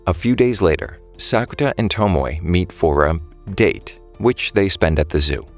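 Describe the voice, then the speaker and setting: Deep-voiced man narrating over music. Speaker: narrator of an anime story recap. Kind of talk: scripted narration.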